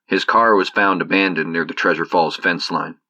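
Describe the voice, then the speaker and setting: An actor playing a cool, measured government investigator in an audio drama. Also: male voice, sits low, fairly even intonation, pushed and bright. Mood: formal. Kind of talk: audio drama acting, formal report.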